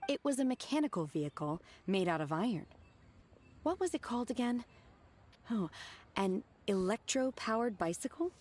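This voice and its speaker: A woman voicing a calm, reserved young female disciple in a game cutscene, formal and polite, addressing her teacher as Master. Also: fairly high pitch, moderate pace.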